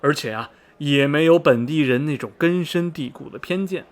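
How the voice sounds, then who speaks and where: A man's voice: a little high, normal speed, lightly acted. A man playing a chatty middle-aged railway station veteran in a radio drama, folksy and rambling, with many sighs and interjections. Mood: chatty, self-assured. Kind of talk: Mandarin radio drama dialogue, storytelling. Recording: music behind the voice. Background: background music.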